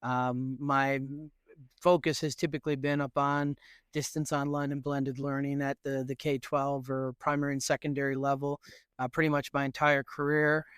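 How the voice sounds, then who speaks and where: A middle-aged man from Newfoundland, Canada, answering interview questions as an education professor in an earnest, animated way with frequent fillers. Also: mid-range voice, moderate pace, clear, resonant tone, no echo, studio quality.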